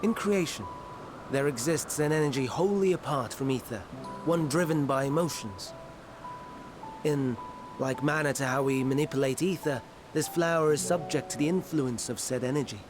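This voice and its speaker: A man's voice: medium pitch, normal speed, music in the background, natural voice. An actor playing an earnest, scholarly male researcher in a video game, explaining in formal, archaic phrasing. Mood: scholarly, explanatory. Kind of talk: scripted video game cutscene acting.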